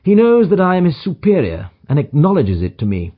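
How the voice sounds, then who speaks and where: A man's voice: a little high, wildly swooping pitch, a little fast, slightly rough, phone-quality sound, pitched above the performer's own voice, full character voice. A man voicing a self-assured, superior detective in an audiobook, with emphatic, clipped pronouncements and dry condescension. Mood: brisk, superior. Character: brisk, self-assured detective, languid yet energetic and superior. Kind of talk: audiobook character dialogue.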